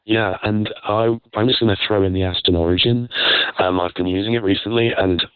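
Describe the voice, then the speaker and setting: A man's voice: fairly low pitch, steady tone, brisk, smooth, no room sound. A man hosting a casual panel discussion with audience questions in an easygoing way. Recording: narrow muffled phone-like radio sound.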